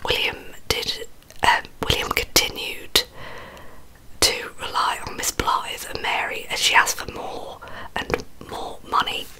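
Female ASMR host, thirties, scripted storytelling in a sharp, breathy whisper, close-miked. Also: measured pace, low-quality recording. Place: small indoor bedroom. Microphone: large microphone close to the mouth.

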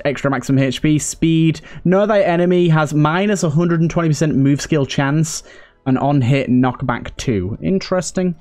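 Higher-voiced man doing casual game commentary. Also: a little mellow. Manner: chatty, thinking aloud. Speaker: gaming video host. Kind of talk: casual let's play commentary.

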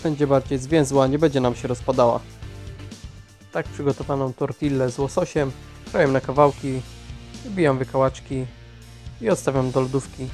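A man's voice: mid-range voice, fairly even intonation, normal speed, pauses often, a little mellow. Man narrating over guitar music. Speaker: cooking channel host. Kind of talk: scripted recipe narration.

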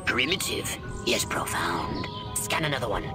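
An actor voicing a pompous, haughty alien commander in a video game, giving orders in a theatrical, wordy, disdainful way. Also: male voice, lowish voice, sing-song, a little slow, music in the background. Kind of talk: video game character acting.

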